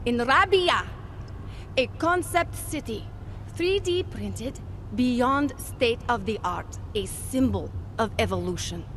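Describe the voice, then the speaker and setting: An actress playing an earnest, persuasive corporate recruiter in a video game, with a polished, idealistic sales delivery. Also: female voice, a little high, expressive, slow pace, fairly bright tone, slight background noise. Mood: earnest, inspiring. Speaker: company representative. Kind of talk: video game dialogue acting.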